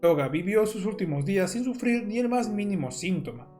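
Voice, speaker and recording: A man's voice: high-pitched, lively intonation, a little fast, a little mellow. A man reading scripted, documentary-style horror narration as the narrator. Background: music.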